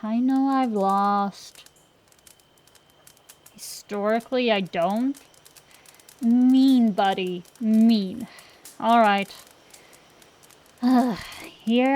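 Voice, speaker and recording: Woman acting a slow-talking, brash tomboy dragon girl. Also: a little high. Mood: grumbling, bantering. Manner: teasing, blustering, easily flustered. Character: brash tomboy tsundere dragon girl sorceress, gambler, secretly fond. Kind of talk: intimate roleplay ASMR audio drama.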